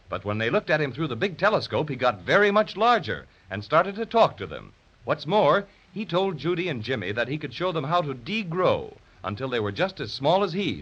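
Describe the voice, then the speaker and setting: A man's voice: a little high, a bit bright. A man narrating a children's story serial as a radio announcer in 1930s style. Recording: dull lo-fi 1930s radio transcription.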